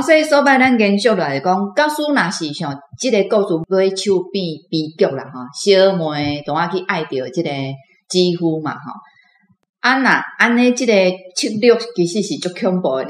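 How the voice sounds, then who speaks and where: A woman's voice: on the low side, lively intonation, normal speed. A woman with a Taiwanese accent hosting a conversational radio interview. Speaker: host. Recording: roomy echo, music behind.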